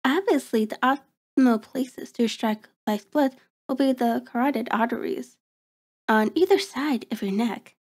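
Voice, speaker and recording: Woman voicing a slow, high-voiced cruel vampiress. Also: a little mellow. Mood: amused, condescending. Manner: taunting, haughty, savoring, formal diction. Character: cruel, predatory female vampire toying with her captive. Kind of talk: ASMR horror roleplay monologue.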